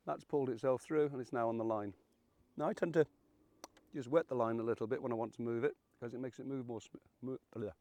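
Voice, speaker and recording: A man around seventy, croaky and hoarse from illness, hosting a fishing channel and walking through a rig in a chatty, folksy, self-deprecating way. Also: mid-range voice, a little mellow, no room sound. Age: 70s. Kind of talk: casual how-to demonstration.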